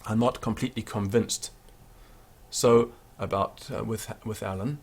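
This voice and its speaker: A man with a fairly low voice teaching pronunciation in an offhand, unscripted way, full of small fillers and restarts. He stops every so often to sound out words slowly and deliberately, as a demonstration for his students. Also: steady tone, creaky, darkish tone. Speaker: Latin teacher. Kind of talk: spoken pronunciation lesson.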